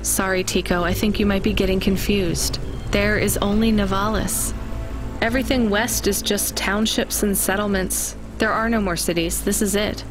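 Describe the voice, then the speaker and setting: A woman's voice: mid-range voice, steady tone, moderate pace, over music, close to an everyday voice. A woman playing a weary, sardonic young immigrant courier in a video game, with a dry, guarded delivery. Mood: gentle, sympathetic. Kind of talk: video game dialogue acting.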